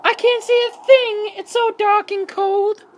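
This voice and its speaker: Woman acting very high-pitched anxious, complaining wife. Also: fairly even intonation, unhurried, a little hiss or hum. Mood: worried, defiant. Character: Katie, complaining, anxious wife who is a nurse. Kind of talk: amateur student radio play acting.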